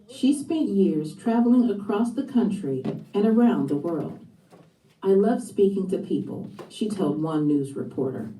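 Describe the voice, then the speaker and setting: A woman's voice: medium pitch, animated, a little slow, mellow, echoey room, distant mic, low-quality recording. A woman reading a scripted biography for children as a narrator. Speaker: book narrator.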